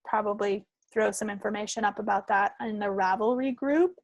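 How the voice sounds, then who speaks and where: A woman's voice: medium pitch, normal speed, no room sound. An American woman chatting casually as a podcast co-host. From United States. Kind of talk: casual chat.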